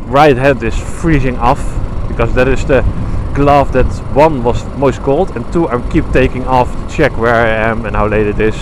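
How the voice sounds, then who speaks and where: Man, Dutch accent, rambling casual talk, mid-pitched voice, helmet camera mic, motorcycle engine rumbling behind. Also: normal speed, hardly any pauses. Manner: rambling, chatty, self-correcting. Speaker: motovlogger. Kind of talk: casual talk while riding. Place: outdoors on a motorcycle on country roads. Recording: engine and wind noise under voice. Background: motorcycle engine and wind.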